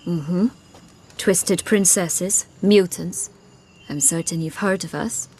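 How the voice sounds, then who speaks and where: A woman's voice: on the low side, slow, darkish tone, a little hiss or hum. An actress playing a bitter, sardonic banished noblewoman in a fantasy video game, speaking in formal, old-fashioned phrases with cool poise. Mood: sardonic, challenging. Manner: formal archaic diction, cool and pointed.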